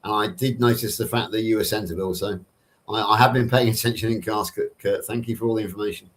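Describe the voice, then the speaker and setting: A man's voice: lowish voice, fairly even intonation, fast talker. A middle-aged man from Somerset with a nondescript Southern English accent, chatting casually on a livestream and answering viewers in a wordy, self-rephrasing way. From Somerset, England. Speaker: panel participant on a livestream. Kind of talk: casual live chat, answering viewers' questions.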